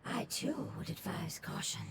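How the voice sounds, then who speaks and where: An actress voicing a calm, cautious female companion who speaks in a measured, serious way. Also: on the low side, very noisy.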